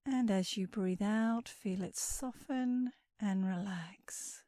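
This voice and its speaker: Slow, mellow woman guiding sleep meditation. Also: mid-range voice, dry recording. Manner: soothing, unhurried, with breathy phrase endings. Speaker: guided meditation instructor. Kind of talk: guided sleep meditation narration.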